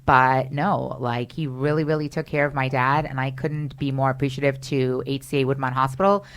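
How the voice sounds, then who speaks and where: A woman's voice: rumbling low, flat delivery, moderate pace. A woman hosting a radio talk show, chatty and effusive as she welcomes guests. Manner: chatty and effusive, lots of "you know". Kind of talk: casual radio interview. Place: radio studio.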